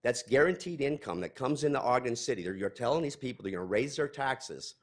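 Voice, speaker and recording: A man's voice: medium pitch, lively intonation, brisk. A man in his forties giving a heated, accusing public comment at a podium mic in a large council chamber.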